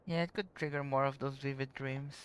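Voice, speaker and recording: An actor playing a calm, gentle, thoughtful youthful companion in an English anime-style game, speaking in a measured, considerate, articulate way. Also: medium pitch, background noise, natural voice.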